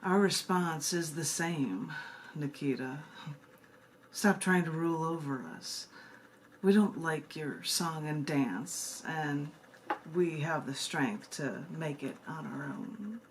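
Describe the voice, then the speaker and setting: A woman's voice: sits low, slow pace. A woman with an American accent, a poet presenting and reading her own work live, emphatic and informal by turns. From United States. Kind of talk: live poetry reading with informal spoken introductions. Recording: noticeable background noise and room ambience.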